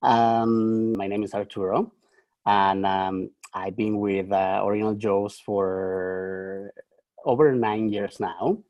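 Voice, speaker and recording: A man's voice: fairly low pitch, steady tone, slow, darkish tone, dry recording, muffled recording. A man in his thirties from Mexico with a Mexican Spanish accent, a podcast guest telling his story hesitantly with lots of ums. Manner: hesitant, many ums and restarts, self-deprecating humor. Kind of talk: casual interview storytelling.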